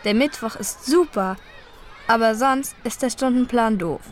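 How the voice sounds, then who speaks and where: Woman as a complaining teen girl, slow, clear, slightly high voice. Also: a faint crowd, lightly acted. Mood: grumbling. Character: teen girl fed up with her timetable. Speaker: student in a listening dialogue. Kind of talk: scripted textbook dialogue.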